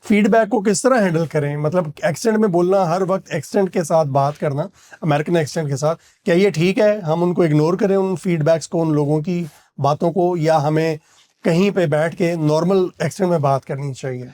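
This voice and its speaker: A man's voice: a little high, moderate pace, mellow. A man, a podcast interviewer who asks questions and agrees often.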